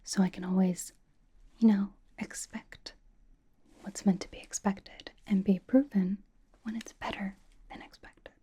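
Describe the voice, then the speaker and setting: A woman's voice: mid-range voice, steady tone, a little slow, pauses often, warm tone, husky. A woman playing a blunt, doting young pop idol in a whispered ASMR roleplay, with a casual, dry, slangy delivery.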